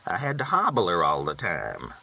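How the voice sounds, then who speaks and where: A man's voice: low-pitched, wildly swooping pitch, moderate pace, gravelly, pushed and bright, exaggerated voice. A man voicing a kindly, unfussed farm woman with plain rural talk in a storybook reading, on a dull recording. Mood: resigned, gracious.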